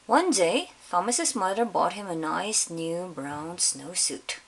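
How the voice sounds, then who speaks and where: A woman reading a picture book aloud to children in an expressive storytelling style, pausing to put questions to her listeners. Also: lowish voice, gravelly, low-quality recording. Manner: pauses to question young listeners. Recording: faint background hiss.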